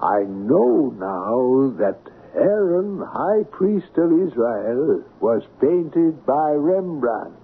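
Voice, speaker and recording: An actor in a 1970s radio drama playing a mannered, pompous art appraiser who speaks in balanced phrases with a rising inflection. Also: male voice, fairly high pitch, sing-song, very slow, hardly any pauses, slightly rough, full character voice. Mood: grandly earnest. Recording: muffled, hissy 1970s radio broadcast.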